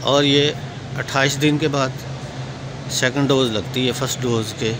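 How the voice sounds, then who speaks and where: A man's voice: medium pitch, fairly even intonation, unhurried. A man with a Pakistani accent giving a plain, step-by-step explanatory walkthrough as a guide. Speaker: vlogger and guide. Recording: very noisy, traffic and ventilation hum.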